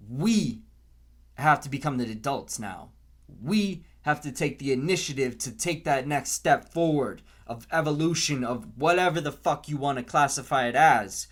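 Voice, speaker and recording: A man in his twenties with an American accent, giving an earnest, unscripted opinion monologue in a deliberate way, repeating phrases for emphasis. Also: mid-range voice, expressive. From Canton, Massachusetts, US. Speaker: podcast host.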